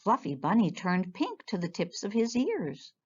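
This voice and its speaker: A woman storyteller reading a children's picture book aloud in an expressive style. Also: mid-range voice, normal speed, breathy edge. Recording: dull, lo-fi sound.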